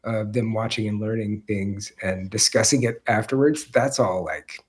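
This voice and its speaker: A man with a slightly husky voice, a podcast guest talking casually and haltingly. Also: on the low side, steady tone. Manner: halting, searching for words.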